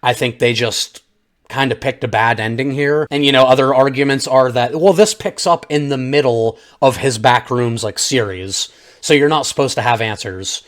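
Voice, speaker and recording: A man's voice: medium pitch, moderate pace. A man giving an off-the-cuff, opinionated video review, chatting informally and directly to his viewers. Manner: conversational, opinionated, informal slang, addresses audience as guys. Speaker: film reviewer, channel host. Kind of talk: casual unscripted video review, talking to viewers.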